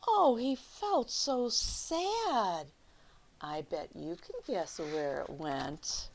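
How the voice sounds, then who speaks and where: Slow-paced woman reading to children. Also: mid-range voice, gravelly, slight background noise. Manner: expressive, stretches key words, drops into hushed asides; addresses young listeners with questions. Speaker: children's storyteller. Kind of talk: picture-book read-aloud to children.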